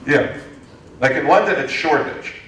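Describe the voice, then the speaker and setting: A man in his fifties from the United States answers an audience question in a lively, joking way, often restarting his sentences. He is recorded in a hall with heavy echo. He is heard from a distance, with faint background noise. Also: fairly high pitch, normal speed. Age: 50s. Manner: animated, joking, frequent restarts. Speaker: guest speaker, entrepreneurship lecturer. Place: lecture hall. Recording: echoey hall from far off.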